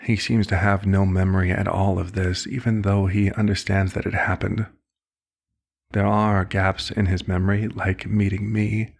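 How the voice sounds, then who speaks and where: A man performs an elder male vampire for an ASMR roleplay. The voice is very low, with a creaky, raspy grain. He speaks in polite, formal phrases, like a concerned doctor and mentor who expects to be listened to. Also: little pitch movement. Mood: measured, clinical concern. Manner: formal, measured, polite wording. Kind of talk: ASMR roleplay, scripted one-sided dialogue.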